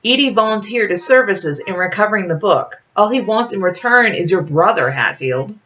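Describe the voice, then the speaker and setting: A woman's voice: medium pitch, animated, moderate pace, a bit bright, pitched above the performer's own voice, lightly acted. A woman voicing a composed, commanding fairy-tale queen with a formal manner. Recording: dull lo-fi audio.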